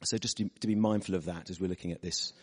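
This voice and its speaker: A preacher with a hoarse, husky voice, giving a chatty sermon with personal stories to a church audience. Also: male voice, sits low, steady tone, fast. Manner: chatty, storytelling, asks the audience. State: hoarse from losing his voice. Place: church hall. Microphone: microphone. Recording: reverberant church hall.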